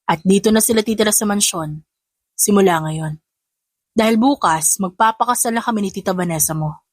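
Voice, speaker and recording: A woman's voice: mid-range voice, fairly quick, dry recording, close to an everyday voice. A woman voicing a serious, stern middle-aged father who speaks firmly in an audio story. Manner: firm, commanding.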